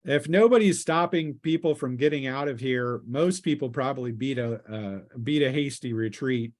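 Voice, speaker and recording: Male game master, mid-pitched, conversational and descriptive, improvising scenes for a tabletop role-playing group. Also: normal speed.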